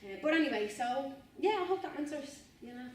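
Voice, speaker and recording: A woman's voice: high-pitched, animated, a little fast, a little hiss or hum. Distant Irish woman in a very echoey room. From Ireland. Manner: earnest, rambling, repeats and restarts phrases, says "you know what I mean". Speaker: spoken word artist giving a talk. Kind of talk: informal talk to students. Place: university room, indoors.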